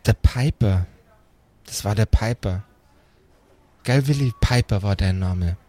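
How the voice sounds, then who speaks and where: A man voicing a gentle, slightly muddled elderly grandparent who speaks haltingly and repeats words. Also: lowish voice, voice pushed down. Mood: proud, wistful. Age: old.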